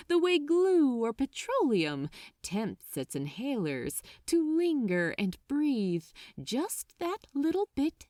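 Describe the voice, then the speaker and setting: Slow, animated woman narrating fiction. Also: medium pitch, dry recording, studio quality. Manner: deliberate, dramatic. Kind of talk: expressive scripted fiction narration.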